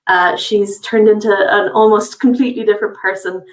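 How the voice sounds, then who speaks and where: A woman's voice: medium pitch, steady tone, brisk, roomy sound, far from the mic. A woman from a college's international office giving a friendly, informative webinar presentation, with frequent fillers.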